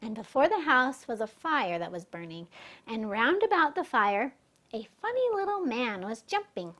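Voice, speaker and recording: A woman's voice: fairly high pitch, very animated, moderate pace, sharp tone, heavily acted. A woman storyteller voicing an earnest royal messenger eagerly reporting back in a fairy tale.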